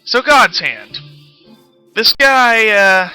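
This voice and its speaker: A man's voice: high-pitched, big pitch swings, drawn-out words, bright, forceful tone, clear, resonant tone, rough recording. A man telling stories casually on a game stream, rambling absent-mindedly and often losing his thread. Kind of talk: casual live-stream storytelling while gaming. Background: background music.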